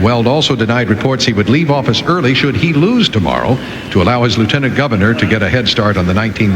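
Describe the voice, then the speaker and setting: A reverberant, noisy radio recording of a man reading the news. His voice sits at a medium pitch, and he delivers written copy. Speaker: radio newsreader.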